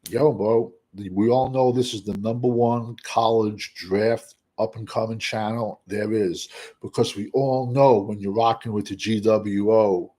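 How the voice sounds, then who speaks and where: A man hosting casual football draft talk, blunt and rambling, with what he calls a monotone voice. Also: on the low side. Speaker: draft analyst host. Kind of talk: casual draft commentary to camera.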